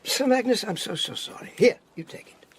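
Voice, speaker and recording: An actor playing a shrewd, worldly spy handler in a radio drama, with a husky, breathy voice and a measured, theatrical, commanding manner. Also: male voice, sits high, expressive, slight background noise. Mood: intimate, persuasive.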